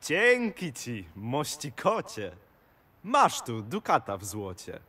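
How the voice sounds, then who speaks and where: A man's voice: medium pitch, huge pitch swings, takes it slowly, sharp tone, slightly rough, full character voice. A man playing a pompous, food-loving king in a rhymed audio fairy tale, grand and theatrical. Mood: gracious, impressed.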